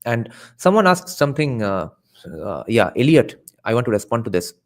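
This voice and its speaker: A man's voice: medium pitch, sing-song, moderate pace. A man hosting a casual reaction stream, thinking aloud and answering chat. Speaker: podcast host reacting to a video.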